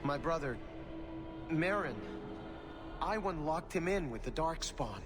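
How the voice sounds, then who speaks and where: An actor playing a distressed young merchant's son in a video game, earnest and pleading. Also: male voice, a little high, lively intonation, unhurried, music in the background. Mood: panicked. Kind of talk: video game cutscene acting.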